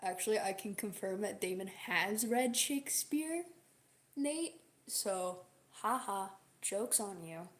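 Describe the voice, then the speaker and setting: A woman with a hoarse, strained voice that breaks up at times, chatting casually and jokingly with livestream viewers. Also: mid-range voice, animated, slow. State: hoarse, sore strained voice from singing. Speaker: livestream host, singer. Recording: roomy echo, loud music behind. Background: loud background music.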